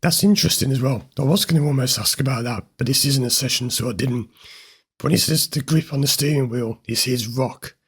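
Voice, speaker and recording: A man hosting a therapy channel, slightly hoarse from recording many videos, commenting directly and with mild amusement on a recorded session. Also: mid-range voice, fairly quick. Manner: direct, explanatory, mildly amused. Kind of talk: commentary over an interview clip.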